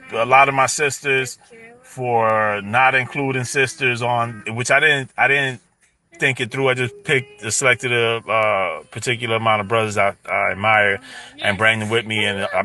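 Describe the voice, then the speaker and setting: A man's voice: mid-range voice, bright, forceful tone, recorded from a distance. A man hosting a casual live panel stream, talking in a loose, rambling way and leaning on "you know what I'm saying".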